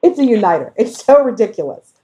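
A woman in a casual discussion, speaking haltingly as she thinks aloud and corrects herself. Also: on the high side, sing-song, warm tone, rough recording. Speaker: podcast discussion guest.

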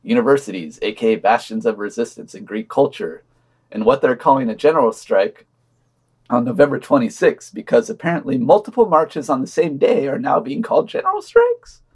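A man's voice: medium pitch, very animated, fairly quick, darkish tone, husky. A man reading a scripted podcast news digest with wry commentary and dry, sardonic asides.

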